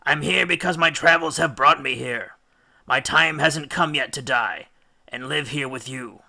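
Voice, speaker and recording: Storybook hero, voiced by a man: urgent and emphatic, with a sharp, creaky edge. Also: fairly high pitch, a little slow, heavily acted. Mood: protesting. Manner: emphatic, insistent. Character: urgent, distressed Greek hero, living man.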